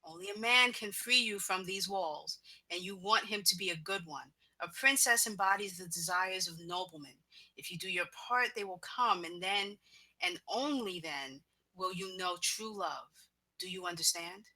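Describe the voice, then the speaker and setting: A woman's voice: on the low side, moderate pace, bright, forceful tone, poor audio. A woman playing a stern, controlling fairy godmother who scolds and commands in clipped phrases, at a script table read. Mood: coldly commanding.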